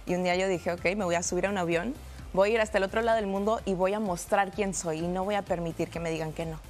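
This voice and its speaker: A young woman, a pageant winner, answers questions on a bright television studio set with a lapel mic. She speaks in an earnest, upbeat way and sometimes restarts her phrases. Faint music plays underneath. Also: fairly low pitch, fairly even intonation, fast. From United States. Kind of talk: TV studio interview.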